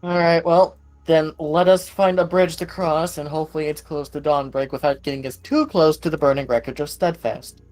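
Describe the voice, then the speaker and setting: Man, fairly high voice, casual in-character chat, tabletop game, background music. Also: steady tone, normal speed, hardly any pauses, darkish tone. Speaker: player in a tabletop roleplaying game. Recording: music behind the voice.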